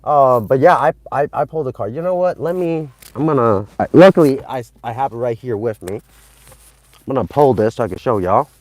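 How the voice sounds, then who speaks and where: A young man from Vietnam chatting with live-stream viewers, excitable and rambling, with many restarts and fillers. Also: medium pitch, normal speed, dry recording. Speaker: channel host streaming live. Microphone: phone.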